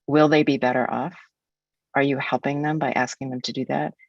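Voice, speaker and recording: A woman's voice: extremely low pitch, little pitch movement, fairly quick, no room sound, muffled recording. A woman in her sixties from the United States, a guest speaker in an academic webinar, talking in a frank, reflective way with some restarts.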